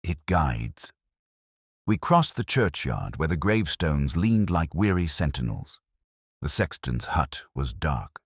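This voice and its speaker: A male audiobook narrator tells a scripted mystery in a solemn, atmospheric way. His voice is extremely deep and varied in pitch, recorded very dry. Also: very animated, measured pace, slightly rough, no echo.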